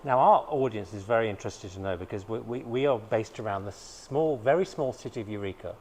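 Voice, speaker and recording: Male host, fast-paced questions, mid-pitched voice. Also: a little mellow, slight background noise. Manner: brisk, friendly questioning. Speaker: interview host. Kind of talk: casual interview hosting.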